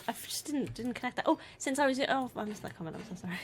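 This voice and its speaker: A woman's voice: a little high, expressive, rapid-fire. A young woman chats casually on a livestream and reads out viewer messages, laughing often. She grew up in the north of England. Faint keyboard clicks and noise sit behind her. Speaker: co-host reading chat.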